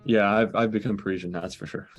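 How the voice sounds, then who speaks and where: A man's voice: fairly low pitch, steady tone, quick pace, faint music behind. A young man talking casually as an interview guest over a video call, hesitant with many fillers and restarts. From born in the US, raised in France. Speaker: guest, digital nomad.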